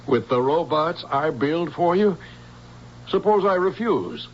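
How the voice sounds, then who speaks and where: Earnest, defiant radio-play scientist. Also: male voice, a little high, expressive, measured pace. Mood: wary defiance. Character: principled male scientist, defiant under threat. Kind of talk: vintage radio drama acting. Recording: muffled old radio recording with noise.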